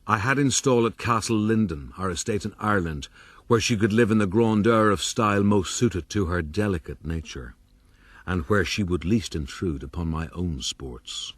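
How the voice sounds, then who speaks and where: An actor playing a vain, self-satisfied gentleman adventurer, pompously narrating his own rise in a radio drama. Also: deep voice, moderate pace, no room sound. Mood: smug. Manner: pompous, formal. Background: music.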